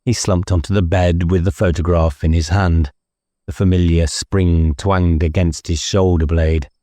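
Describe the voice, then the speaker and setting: A male narrator reads a novel in a dramatic, scripted style. His voice is low and dark, and the recording is close and very dry. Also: fairly even intonation, normal speed, no echo. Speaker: audiobook narrator.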